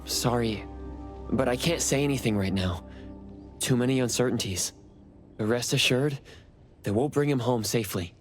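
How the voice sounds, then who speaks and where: An actor playing an earnest, reserved, reassuring investigator in a game cutscene, with an understated, tentative manner. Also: male voice, medium pitch, normal speed, pauses often, close to an everyday voice. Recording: music behind the voice.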